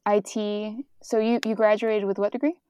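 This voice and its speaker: A woman's voice: mid-range voice, little pitch movement, normal speed, dark tone, smooth, dry recording. A woman in her twenties co-hosting a podcast, upbeat and encouraging as she asks questions. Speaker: podcast co-host and interviewer. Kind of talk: casual conversational interview.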